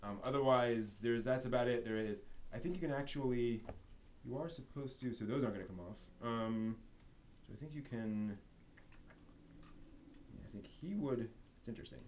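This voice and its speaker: A man's voice: medium pitch, moderate pace, pauses often, a little mellow. A man hosting a casual live show-and-tell stream, rambling and thinking aloud as he handles things. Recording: noisy live stream audio.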